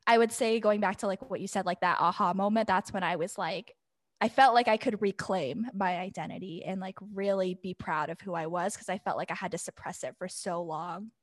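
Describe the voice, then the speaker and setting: A woman's voice: medium pitch, a little fast, no room sound. A young woman with a mid-range voice talks as a podcast guest. She tells her story casually and at length, in informal conversational phrasing. The sound is close and dry. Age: young adult. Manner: chatty, informal, long-winded.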